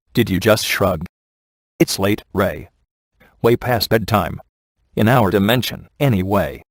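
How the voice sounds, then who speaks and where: An actor playing a sardonic, laid-back male ghost hunter in a video game, delivering wry scripted quips in a casual deadpan manner. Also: on the low side, no room sound, studio quality. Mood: deadpan, teasing. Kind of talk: scripted game dialogue, dry wisecracks.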